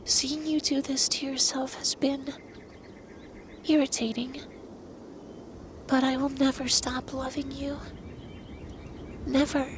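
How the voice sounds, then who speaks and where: Man acting as ancient male dragon, high androgynous voice, level intonation, formal deliberate phrasing, stern but caring, intimate ASMR roleplay. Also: flat delivery. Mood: tender, reassuring. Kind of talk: ASMR roleplay, intimate scripted monologue addressed to listener. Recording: clear background noise with ambience.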